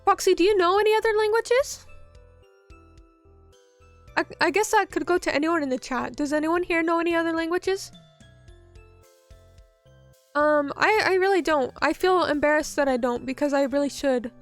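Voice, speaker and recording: Woman, high-pitched voice, casual streamer chat, rambling with fillers, soft music underneath. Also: normal speed, pauses often. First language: English. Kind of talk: casual chat reading viewer messages.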